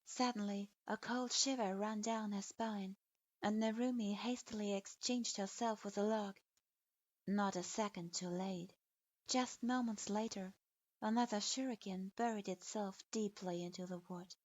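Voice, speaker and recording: A woman narrates a dark story in a steady, even, scripted way, with a voice of ordinary pitch for a woman. The recording is dull and lo-fi, and it sounds completely dry, with no room around the voice. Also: no echo.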